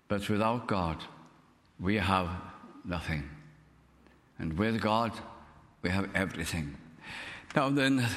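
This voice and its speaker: A man with a Welsh accent whose first language is Welsh, preaching a sermon in an earnest, unhurried way, letting his voice drop softly at the ends of phrases. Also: fairly low pitch. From Wales. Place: church. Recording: long reverb of a hall.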